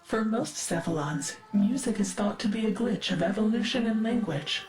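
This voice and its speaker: Woman playing a learned female machine mind, formal, measured, wistful, echoey, music behind, game dialogue. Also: mid-range voice, darkish tone, lightly acted. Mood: reflective. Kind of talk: scripted video game character dialogue.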